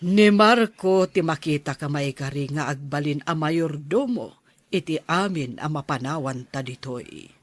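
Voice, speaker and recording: A man's voice: fairly high pitch, unhurried, no echo. A man playing an elderly plantation owner and grandparent in an audio drama, instructing in a matter-of-fact way. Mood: planning.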